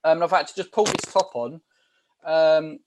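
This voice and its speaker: A British man with a fairly high voice, teasing his co-host with loose, jokey podcast chatter. He mocks his friend in a relaxed, conversational way. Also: normal speed, noisy background. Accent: British English. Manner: joking, mocking. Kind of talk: casual banter.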